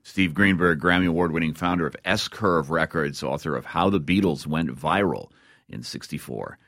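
A man's voice: a bass voice, normal speed, fairly bright tone, no echo. A man with an American accent hosting a radio show, presenting scripted intros and interviewing in a studio.

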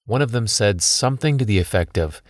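A man's voice: on the low side, animated, brisk, no echo, clean studio sound. A man in his thirties, a podcast host telling a personal story in scripted documentary narration.

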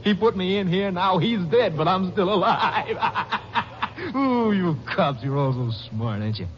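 An actor playing a brutal little convict in a 1950s radio drama, bitter and mocking, with a taunting, slangy, cackling manner. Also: male voice, sits high, very animated, unhurried. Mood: gleeful mockery. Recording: muffled vintage radio recording, faint noise.

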